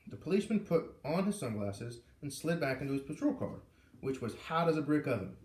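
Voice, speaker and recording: A man reading a story aloud in an expressive, informal way, narrating like a storybook reader. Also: a little high, fairly quick. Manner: animated, informal asides. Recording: echoey room, far from the mic.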